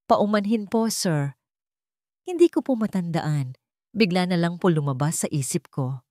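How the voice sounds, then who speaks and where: A woman's voice: fairly low pitch, expressive, dark tone, no room sound, studio quality. Woman playing a timid, hesitant young nanny. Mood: nervous, apologetic. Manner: hesitant, halting, apologetic. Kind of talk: audio drama dialogue.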